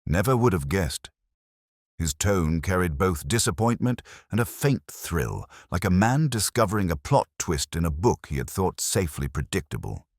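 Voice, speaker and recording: A man reading a genteel mystery story as narrator, in a measured, precise, literary manner. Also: deep voice, sing-song, dry recording, studio quality.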